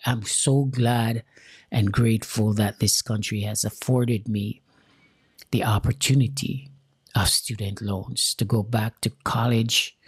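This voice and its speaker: A Jamaican-accented man from Jamaica giving an earnest, deliberate motivational talk straight to his viewers, repeating key lines for emphasis. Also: fairly low pitch, breathy edge, no room sound, studio quality. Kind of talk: motivational direct-to-camera talk.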